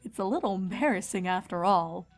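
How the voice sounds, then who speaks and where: A woman's voice: medium pitch, a little fast, faint music behind, lightly acted. A woman voicing a regal, placid pony princess who teaches patiently and delicately in a cartoon-style fan reading. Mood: coyly embarrassed.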